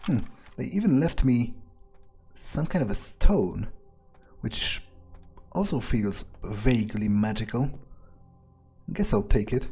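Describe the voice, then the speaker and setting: A man's voice: medium pitch, animated, slow, vocal fry, pauses often, dark tone, breathy edge, heavily acted. A man voicing a cynical, suspicious vampire in an in-character game roleplay, musing hesitantly and swearing freely. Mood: dry, musing. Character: cynical, suspicious, foul-mouthed young male vampire, thinking aloud.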